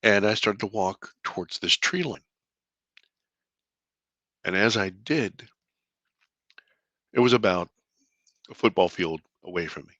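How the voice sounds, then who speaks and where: A deep-voiced man, a filmmaker guest in an interview, telling a personal story earnestly, with halting restarts and bursts of excitement. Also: no echo. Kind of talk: conversational storytelling.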